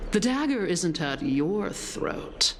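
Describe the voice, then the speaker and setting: An actress playing a scheming, villainous noblewoman in a video game, taunting and theatrical, with drawn-out phrasing. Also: lowish voice, very animated, noisy background. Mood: mocking, gloating. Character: scheming, mocking villainous noblewoman, gloating and venomous. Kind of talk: dramatic video game voice acting.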